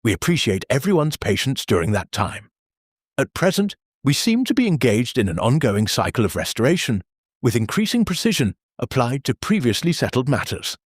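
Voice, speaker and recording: An actor playing an earnest, formal church meeting chairman who speaks in a measured, bureaucratic deadpan. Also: medium pitch, breathy edge, dry recording, clean studio sound. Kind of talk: audio drama acting.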